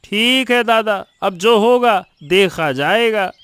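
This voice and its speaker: Guy doing gloomy young villager in a cartoon, high whiny complaining voice, dry sound. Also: lively intonation, slow, fairly bright tone, clear, resonant tone, no echo, exaggerated voice. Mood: resigned. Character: dejected younger village man down on his luck. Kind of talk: cartoon story voice acting.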